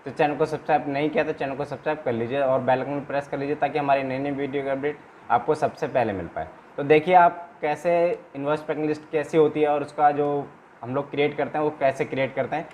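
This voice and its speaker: A man's voice: on the high side, steady tone, quick pace, a little mellow. Man explaining step by step, noisy background. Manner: repetitive explaining with frequent check-in tags. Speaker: trainer and channel host. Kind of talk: informal instructional video, explaining documents step by step. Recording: clear background noise, room ambience.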